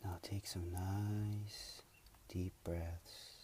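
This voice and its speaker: A man leading a guided meditation in a soothing, measured, unhurried way with pauses. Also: low voice, little pitch movement, dark tone, a little hiss or hum. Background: music.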